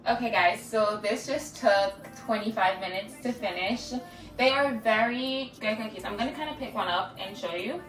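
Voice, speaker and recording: A woman's voice: medium pitch, normal speed, a bit bright. A woman with a Jamaican accent chatting casually as a cooking vlogger, filling her talk with "like" and "guys". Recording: echoey room, distant mic, music underneath.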